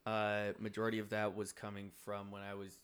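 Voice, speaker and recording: A man's voice: fairly low pitch, monotone, fairly quick, a bit bright, smooth. A man in casual podcast banter, explaining himself in a laid-back, shrugging way. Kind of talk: casual banter among friends.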